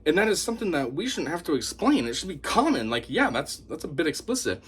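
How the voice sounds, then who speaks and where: A man with a slightly high, husky voice speaks in an opinionated monologue to camera. His delivery swings widely up and down as he talks scornfully and energetically. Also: fast talker. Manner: animated, scornful, mocks opponents in put-on voices. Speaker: YouTube commentator.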